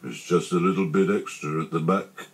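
A man voicing a gloomy, self-pitying old donkey in a children's storybook, speaking slowly and mournfully with lots of grumbling. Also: sits low, steady tone, vocal fry. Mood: morose, grumbling. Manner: slow, mournful, complaining delivery.

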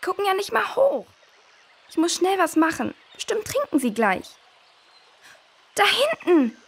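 A young girl in a children's radio adventure. She is curious and easily worried, with a high voice and lively, swooping intonation as she thinks aloud. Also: unhurried, full character voice. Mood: worried, determined.

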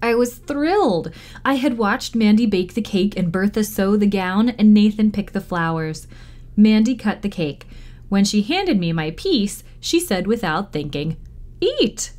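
A woman reading a children's novel aloud in expressive storytime narration. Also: medium pitch, unhurried. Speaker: library storytime reader.

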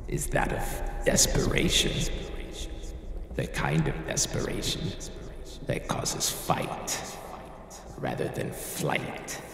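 An actor playing a sinister, theatrical host of horror tales, speaking with slow, ominous portent in a gravelly voice. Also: male voice, fairly bright tone, exaggerated voice. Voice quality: very deep, gravelly. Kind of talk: theatrical scripted introduction. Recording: cavernous reverb with clear noise.

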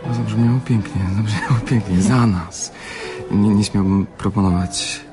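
An actor playing a smooth-talking, flirtatious chess runner-up in a radio drama, flattering and repeating his words. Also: fairly low pitch, a little slow, music in the background, close to an everyday voice. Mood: flirtatious, admiring. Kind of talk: Polish radio drama acting.

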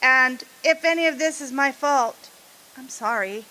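Woman playing a prim, high, bright-voiced scolding mother. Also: measured pace, low-quality recording. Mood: softened. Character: stern, judgmental old-fashioned mother, prim and resentful. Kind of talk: radio drama acting.

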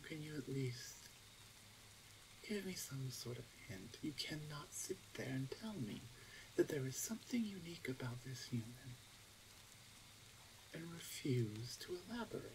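A woman's voice: a bass voice, animated, measured pace, pauses often, noisy background. A woman playing a stern, suspicious younger orc huntress in an ASMR roleplay, speaking in a curt, exasperated manner. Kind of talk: ASMR roleplay audio drama.

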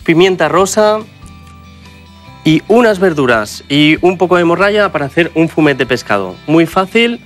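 A man's voice: on the high side, animated, normal speed, soft background music. A middle-aged man with a Spanish accent, a chef hosting a TV cooking show, explaining ingredients to viewers in a studio kitchen. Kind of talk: TV cooking demonstration.

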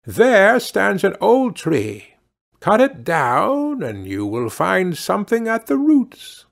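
A man voicing a kindly, magical little old grey man in a fairy tale, speaking in a measured, solemn way. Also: high voice, huge pitch swings, gravelly, pitched above the performer's own voice, exaggerated voice.

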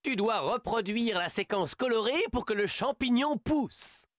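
A man's voice: high voice, lively intonation, measured pace, no echo, studio quality. An actor voicing a fussy, theatrical male animal who hands out tasks in a game, with a grandiose, condescending manner. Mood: lofty. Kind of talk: video game dubbing.

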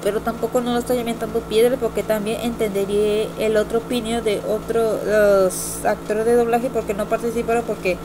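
Young girl vlogger, highish voice, rambles and repeats herself, lots of noise on the mic. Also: little pitch movement, fairly quick, few pauses. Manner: rambling, repetitive, hesitant. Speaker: amateur video reviewer. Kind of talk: casual opinion vlog. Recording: noisy amateur home computer recording.

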